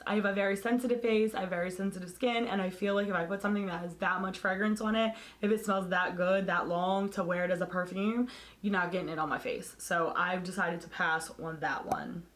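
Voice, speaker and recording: A woman beauty vlogger talking casually to camera, hoarse as she loses her voice, candid and blunt in her opinions. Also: mid-range voice.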